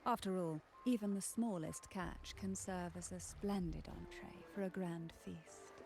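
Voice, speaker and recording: Slow, mysterious temptress. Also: female voice, fairly low pitch, vocal fry, music in the background. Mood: playful, poised. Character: mysterious alluring woman potion maker, silky and unhurried. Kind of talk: video game story dialogue.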